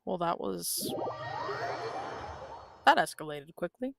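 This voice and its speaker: A woman's voice: on the low side, expressive, slow, gravelly, over music. A woman livestreaming a game with casual commentary and dry, understated reactions. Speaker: game streamer.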